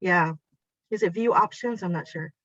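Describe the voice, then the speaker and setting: A woman's voice: on the low side, normal speed, a bit bright, dry recording. A woman whose first language is Japanese, presenting a teacher workshop online in a chatty, encouraging way and guiding the audience step by step. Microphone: video call. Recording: dull lo-fi video call audio.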